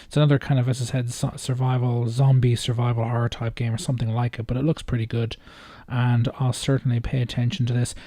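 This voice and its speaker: A man giving casual, off-the-cuff video commentary in a hesitant, rambling way with halting phrasing. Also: mid-range voice, level tone, mellow, dry recording. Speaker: video host reacting to game trailers.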